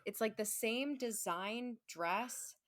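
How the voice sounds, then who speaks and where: A woman's voice: on the high side, slow pace, no room sound, clean studio sound. A woman speaking as a podcast guest in casual chat, emphatic and chatty, peppering her talk with "like". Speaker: podcast guest, stage performer.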